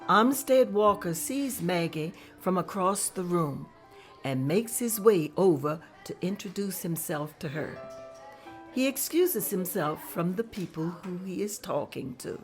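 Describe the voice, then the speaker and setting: A woman narrating the scene directions at a table read in a plain, steady manner. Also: lowish voice, unhurried, faint music behind. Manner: plain, steady descriptive reading. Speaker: narrator reading stage directions.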